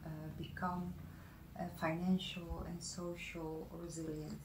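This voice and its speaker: A woman guest from the Netherlands answering interview questions in a measured way, with frequent hesitations. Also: fairly low pitch, level tone, background noise. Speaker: guest, foundation founder. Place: room at a wooden table. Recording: roomy room sound.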